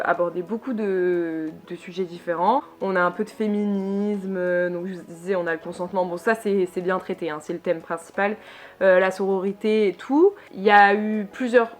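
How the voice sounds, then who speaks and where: A young woman from northern France talks through a book review in a loose, chatty way. She hesitates often, restarting phrases with fillers. Her pitch sits in the middle, and faint music plays underneath. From Lille, northern France. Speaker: book vlogger. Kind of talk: casual book review monologue.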